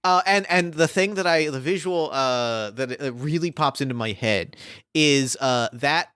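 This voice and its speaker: A man's voice: a little high, measured pace, fairly bright tone, clean tone, no echo, clean studio sound. A man hosting as game master, improvising narration for a tabletop roleplaying game with enthusiasm, often repeating words as ideas form. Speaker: game master, podcast host.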